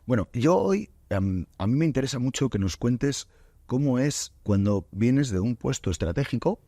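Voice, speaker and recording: A man from Spain hosting a podcast interview in a studio, talking in a relaxed, halting way with many fillers and restarts. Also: mid-range voice, no room sound. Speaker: podcast host. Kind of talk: casual interview chat.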